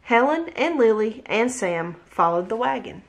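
Woman, 30s, home read-aloud host; expressive, animated storytelling voice, webcam at desk. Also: fairly high pitch, moderate pace, vocal fry. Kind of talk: reading a picture book aloud. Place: home room, indoors. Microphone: webcam at desk distance.

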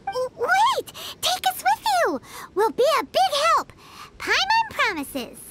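A woman's voice: very high voice, huge pitch swings, takes it slowly, heavy background noise, exaggerated voice. A woman voicing a tiny, chatty female fairy companion in a video game, eager and talking about herself in the third person. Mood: pleading. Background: game music.

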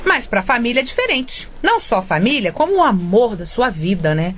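A woman's voice: on the high side, big pitch swings, normal speed, fairly bright tone, slight background noise. An actress playing a middle-aged mother in a radio soap, coaxing and scheming to get her way. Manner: coaxing, wheedling. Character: scheming mother Nélia, sweet-talking cook.

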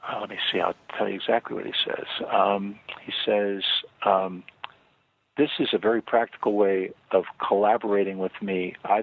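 An older man, an author and guest on a radio interview, speaking earnestly and measuredly with frequent pauses and fillers. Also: on the low side, husky, no echo. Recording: tinny phone line, dry.

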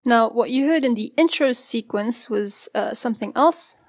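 A woman presenting a tutorial in an informal, explanatory way, walking through software step by step. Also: a little high, moderate pace, over loud music.

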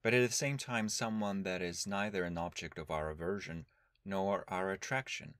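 A man leading a guided meditation as a teacher, speaking in a calm, measured, instructional way with long pauses. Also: sits low, dry recording, clean studio sound.

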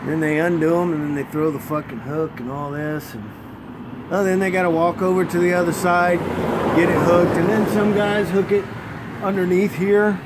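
A man in his thirties, a flatbed truck driver, giving a casual how-to on his phone camera outdoors beside his trailer, rambling, restarting and cursing freely. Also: high-pitched, normal speed. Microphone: handheld phone camera, close. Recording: loud outdoor noise. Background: traffic and vehicle noise.

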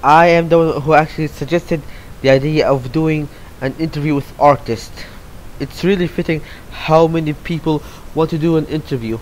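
A man's voice: a little high, moderate pace, a little mellow, slight background noise, poor audio. Rambling young man from Saudi Arabia. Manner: rambling, many fillers and restarts. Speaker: interview guest, artist. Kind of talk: casual answers to read-out questions.

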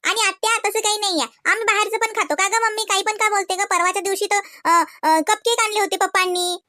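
A woman's voice: very high voice, fairly even intonation, fast, sharp tone, dry recording, studio quality. A woman voicing an outspoken, eager little girl in a comedy cartoon, blunt and cheeky in manner.

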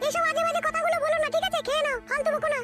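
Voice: very high-pitched, fairly even intonation, rapid-fire. A performer voicing an aggrieved, defiant wife in an adult comedy cartoon, answering back with whining indignation. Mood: defensive. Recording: very loud music under the voice.

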